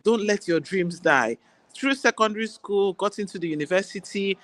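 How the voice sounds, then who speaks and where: A woman with a Nigerian accent, an Igbo speaker, talking as a guest doctor in a relaxed interview, chatty and quick to laugh. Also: medium pitch, no echo. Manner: warm, chatty, laughs easily. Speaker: guest, general practitioner. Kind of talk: casual interview chat.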